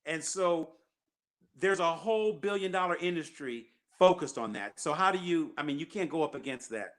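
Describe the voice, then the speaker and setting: A man's voice: on the high side, lively intonation, moderate pace. A man hosting a call-in show, talking emphatically and repeating his key points. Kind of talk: casual call-in chat.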